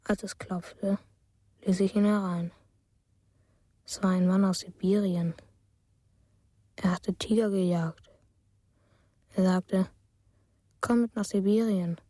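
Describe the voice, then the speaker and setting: An actress in a radio play voicing a dreamy, lonely woman who tells things slowly and simply. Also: medium pitch, fairly even intonation, warm tone, dry recording, clean studio sound. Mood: dreamy, hushed.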